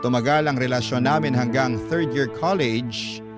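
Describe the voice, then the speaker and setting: An actor playing a young man telling his love story in scripted radio narration, with a Filipino accent and a measured, sentimental manner. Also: medium pitch, close to an everyday voice. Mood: reflective, earnest. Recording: loud music bed under voice.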